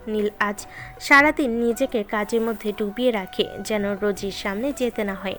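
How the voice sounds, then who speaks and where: A woman narrates a romantic story from a script. Her voice sits fairly high. Light music plays underneath. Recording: music behind the voice.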